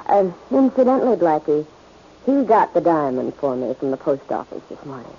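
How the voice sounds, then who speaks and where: A woman's voice: sits low, big pitch swings, normal speed, slightly rough, heavily acted. An actress playing a cornered, scheming, defiant female crime boss in 1940s radio drama style. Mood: cold, defensive. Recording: muffled vintage radio recording.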